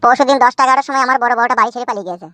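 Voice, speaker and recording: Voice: medium pitch, racing, pushed and bright, soft background music, close to an everyday voice. A performer voicing a hapless, henpecked young village husband in a cartoon comedy, complaining and full of self-pity. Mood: self-pitying, sheepish. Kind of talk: Bengali cartoon comedy dialogue.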